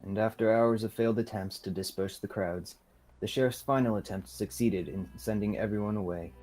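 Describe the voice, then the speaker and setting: Mellow low-voiced young man narrating. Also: fairly quick, faint music behind, poor audio. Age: young adult. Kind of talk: scripted radio theatre narration.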